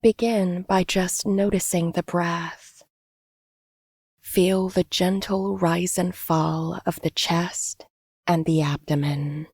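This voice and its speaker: Female meditation guide, slightly low and breathy-husky, gentle scripted delivery, recorded very dry. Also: steady tone, no echo. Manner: gentle, unhurried, instructional. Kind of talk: guided body scan meditation, scripted narration.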